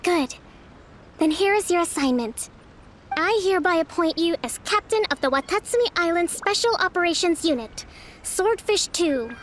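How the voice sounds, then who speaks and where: A woman playing a gentle, composed young resistance leader in a video game, measured, formal and resolute. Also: extremely high pitch, lively intonation, slight background noise. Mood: solemn, resolute. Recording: light music behind the voice.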